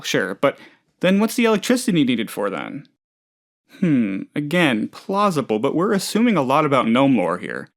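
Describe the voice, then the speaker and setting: A man voicing a friendly, insecure, gullible plant boss in a video game, chatty and hesitant in an earnest, folksy way. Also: fairly high pitch. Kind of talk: video game character voice lines.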